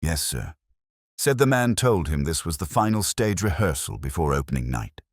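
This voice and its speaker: A man's voice: extremely low pitch, very animated, moderate pace, dry recording, studio quality, full character voice. A man voicing a dutiful police constable reporting to a superior in a mystery story.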